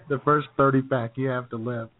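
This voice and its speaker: A man's voice: medium pitch, fairly even intonation, moderate pace, dry recording. A man co-hosting a podcast, teasing his partner and laughing often in casual banter. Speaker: podcast co-host. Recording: tinny phone-like sound.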